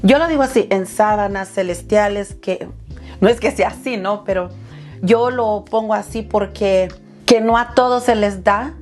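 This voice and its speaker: A woman's voice: mid-range voice, normal speed, faint music behind. A Salvadoran woman in her thirties, hosting her channel and telling her life story in a heartfelt, personal way, calling her viewers "chulis". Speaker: channel host.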